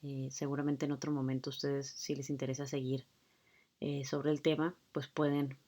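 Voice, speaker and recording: Woman lecturer, deep for a woman, conversational explainer with fillers. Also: level tone, normal speed, dark tone. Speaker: law lecturer. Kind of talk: explaining a court hearing video.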